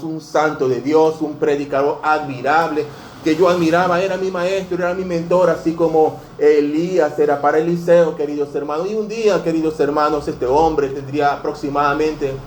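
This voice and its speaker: A Venezuelan man in his fifties preaches with heartfelt earnestness, his voice sitting a bit high for a man. He keeps calling his listeners dear brothers. The sound rings through an echoey church room. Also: darkish tone, a little hiss or hum. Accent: Venezuelan Spanish. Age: 50s. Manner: earnest, fills every phrase with "dear brothers". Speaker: pastor. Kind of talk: sermon. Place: church sanctuary.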